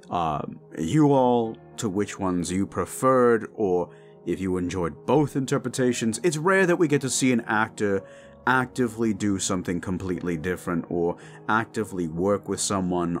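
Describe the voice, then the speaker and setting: A man speaking as host and voice actor, giving an enthusiastic, emphatic thank-you outro to listeners. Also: lowish voice.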